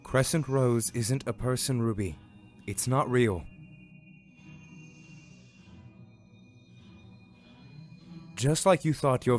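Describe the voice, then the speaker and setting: A man playing a calm, formal advisor at a royal court in a fantasy fandub, speaking in a measured, instructive way. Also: medium pitch, close to an everyday voice. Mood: firm, reassuring. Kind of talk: fandub fantasy drama acting.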